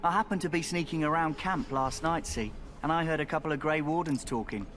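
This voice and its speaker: A man's voice: fairly high pitch, brisk, fairly bright tone, a little hiss or hum, natural voice. A man voicing a cheeky young rogue. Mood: sly.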